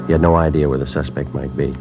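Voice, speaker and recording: A man playing a police sergeant who narrates a 1950s radio drama in a flat, clipped, matter-of-fact way. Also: very deep voice, fast. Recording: dull old radio recording with music.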